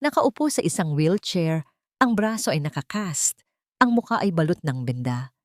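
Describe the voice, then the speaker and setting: Woman reading a drama audiobook, low voice, really dry close sound. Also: sing-song, normal speed, no echo. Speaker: audiobook narrator. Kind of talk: scripted dramatic story narration.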